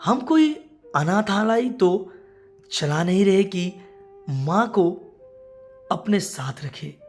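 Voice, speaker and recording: A woman's voice: medium pitch, expressive, takes it slowly, darkish tone, soft background music. A woman voicing a selfish, indignant middle brother from a village in a story, cold in manner. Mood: indignant refusal.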